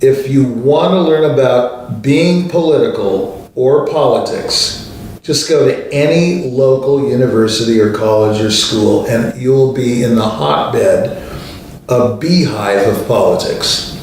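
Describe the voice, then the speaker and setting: An older man, a pianist hosting a show, telling anecdotes in a relaxed, conversational way with many fillers. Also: mid-range voice, takes it slowly, a little hiss or hum. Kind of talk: storytelling monologue. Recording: distant mic in a very echoey room.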